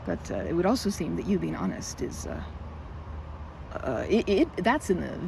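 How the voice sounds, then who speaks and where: A woman's voice: on the low side, big pitch swings, moderate pace, creaky, noisy background, full character voice. A woman playing a timid, socially anxious young woman in an ASMR roleplay, stammering and rambling nervously as she corrects herself. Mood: flustered, appreciative. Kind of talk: ASMR roleplay, intimate one-sided romantic dialogue.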